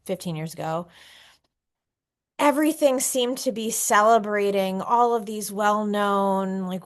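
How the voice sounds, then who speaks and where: A woman speaking as a scholar on an academic panel, earnest and halting as she rephrases her thoughts aloud. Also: medium pitch, fairly bright tone. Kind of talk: unscripted academic discussion.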